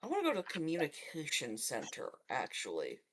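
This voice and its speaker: A woman's voice: sits low, huge pitch swings, fairly quick, a bit bright. A woman chatting casually as a player in a tabletop roleplaying game.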